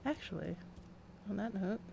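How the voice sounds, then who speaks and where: A woman's voice: medium pitch, lively intonation, moderate pace, creaky, dull audio, background noise. A woman chatting casually and thinking aloud as she livestreams a video game. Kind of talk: casual game stream commentary.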